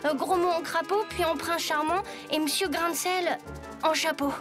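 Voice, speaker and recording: A woman's voice: very high voice, steady tone, normal speed, a bit bright, soft background music. A woman voicing a resourceful, earnest young schoolboy hero in a cartoon, eager in manner. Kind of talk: animated cartoon dubbing.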